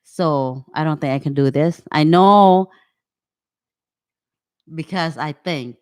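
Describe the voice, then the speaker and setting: A woman's voice: deep voice, clean tone. A woman in her fifties from Cambodia, speaking English as an in a casual interview, often restarting her sentences. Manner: halting, restarts sentences, second-language English. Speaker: guest.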